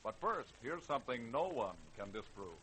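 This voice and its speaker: A man's voice: mid-range voice, big pitch swings, normal speed, fairly bright tone, slight background noise, rough recording. An American man hosting and narrating an old radio show in an emphatic, theatrical scripted style. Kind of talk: 1930s-40s dramatic scripted narration.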